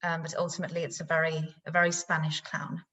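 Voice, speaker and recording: A woman's voice: low voice, flat delivery, quick pace, fairly bright tone. A woman interpreting live in a discussion, relaying remarks carefully phrase by phrase, a little away from the microphone. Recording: slightly distant from microphone.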